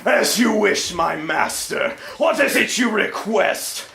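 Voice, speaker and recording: A man's voice: sits high, lively intonation, takes it slowly, gravelly, bright, forceful tone, roomy sound, exaggerated voice. A man voicing a menacing, devoted vampire count in a comic stage reading. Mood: obedient, suave.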